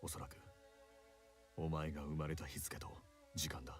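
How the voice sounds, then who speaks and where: Deep-voiced quiet guarded dude from a visual novel, low murmur. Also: male voice, flat delivery, quick pace, husky, faint music behind. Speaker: game character. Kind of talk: Japanese visual novel voice acting.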